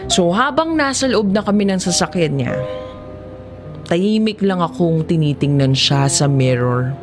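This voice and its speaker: Filipino woman, lowish voice, unhurried audiobook storytelling, conversational and dramatic, clear music underneath. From Philippines. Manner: conversational, acts out dialogue and inner reactions. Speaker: story narrator. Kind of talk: first-person dramatic storytelling in an audiobook manner. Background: music behind the voice.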